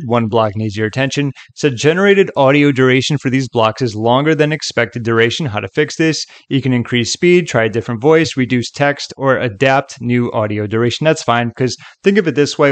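A man's voice: medium pitch, no room sound. Casual man narrating a dry-sounding tutorial. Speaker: software tutorial host.

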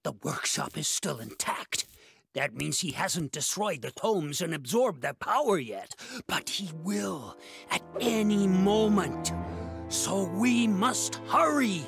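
A woman voicing a big, wistful, theatrical monster sorceress with grand melodrama in an animated game. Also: fairly low pitch, slow, vocal fry, faint music behind, full character voice. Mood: urgent, grand. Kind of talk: animated game cutscene acting.

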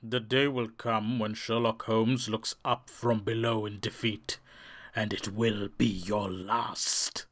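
An actor playing a smug, polished criminal professor with a coolly sardonic manner in an audio drama. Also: male voice, on the low side, level tone, slow, fairly bright tone. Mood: menacing. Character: suave, smug male criminal mastermind professor.

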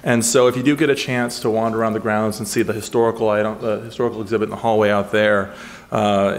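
A man in his thirties hosting an event at a lectern, chatty and joking, stumbling over names as he welcomes guests. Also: on the low side. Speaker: event host. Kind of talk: casual opening remarks. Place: conference hall, at a lectern. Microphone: lectern microphone.

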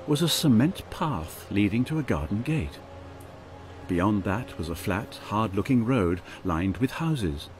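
A man narrating a radio drama as a measured, wry storyteller. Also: medium pitch, slight background noise.

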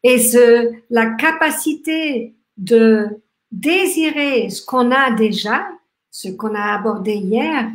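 A woman in her fifties from Austria with an Austrian German accent, German her first language, giving a gentle, reflective live talk with many restarts and fillers. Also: on the high side. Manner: talks with lively hand gestures. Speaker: workshop host. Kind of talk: live online talk on gratitude. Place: small room indoors. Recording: echoey room.

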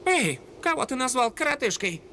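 A woman's voice: high voice, big pitch swings, bright, forceful tone, slight background noise, heavily acted. A woman voicing a small, plucky male animal sidekick in a cartoon, feisty and easily indignant. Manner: feisty, indignant, quick to protest. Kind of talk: cartoon dub.

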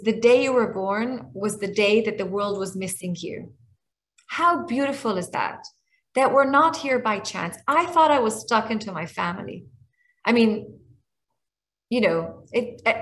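A woman in her forties with an Israeli accent and Hebrew as her first language, speaking earnestly on a video call from a small room at home, often restarting her sentences. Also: medium pitch. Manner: earnest, warm, restarts often, slows on emotional points. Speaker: guest speaker and author. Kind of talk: heartfelt talk on a video call. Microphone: webcam on a video call.

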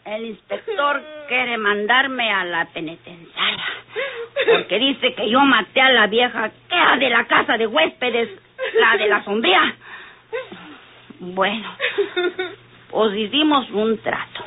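A woman's voice: fairly high pitch, slow pace. An actress playing a drunken, defiant, bitter woman with a Mexican accent and rural slang in an old radio soap. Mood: bitter, confessing. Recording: muffled, noisy old radio recording.

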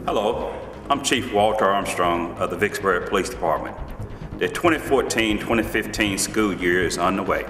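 Police chief, low male voice, formal delivery, distant echoey room sound. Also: moderate pace. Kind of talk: public service announcement. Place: courtroom, standing with officers. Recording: reverberant room, mic at a distance, music behind.

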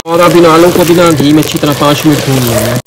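A man's voice: a little high, fast talker. A man with a Pakistani accent, chattily explaining a recipe as a casual village cooking vlogger. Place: outdoors in village fields and a brick-walled courtyard. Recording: clear outdoor ambient noise.

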